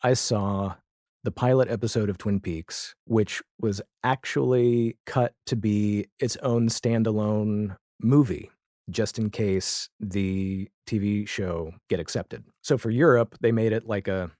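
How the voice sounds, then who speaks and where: A man's voice: fairly low pitch, steady tone, slow, a little mellow, dry recording, clean studio sound. An American man in his forties chatting casually and anecdotally as a podcast guest. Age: 40s. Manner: chatty, acts out imagined dialogue. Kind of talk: casual anecdotal interview chat.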